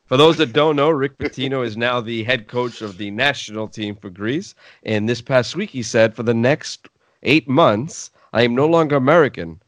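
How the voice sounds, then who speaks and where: A man's voice: medium pitch, normal speed, dry recording. A man hosting a casual sports talk show, speaking in a blunt, opinionated way.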